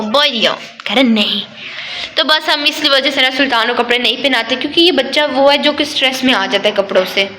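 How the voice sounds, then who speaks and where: A woman's voice: sits high, bright, forceful tone, slight background noise. A woman narrating a casual home vlog in a chatty, affectionate way, often talking to her cats. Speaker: vlogger.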